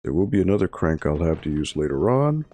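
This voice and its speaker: A man in his thirties hosting a library livestream, hoarse and raspy from bronchitis, chatting casually with viewers and explaining each step as he plays. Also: a bass voice, very animated, fairly quick, dark tone. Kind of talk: casual gameplay commentary to a live chat.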